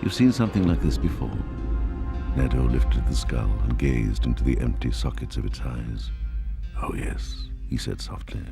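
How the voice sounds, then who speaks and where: A man giving a grave, measured, dramatic reading of a crime novel. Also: a bass voice, expressive, vocal fry. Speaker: audiobook narrator. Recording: music behind the voice. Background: music.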